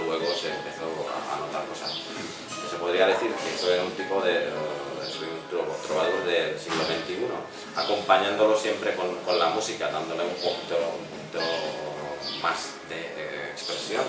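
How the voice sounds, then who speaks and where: A man who is not a native Spanish speaker talks informally as a poet at a book presentation, in a halting, reflective way, searching for his words. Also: sits low. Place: echoey café event room. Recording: noisy, very echoey room, distant microphone.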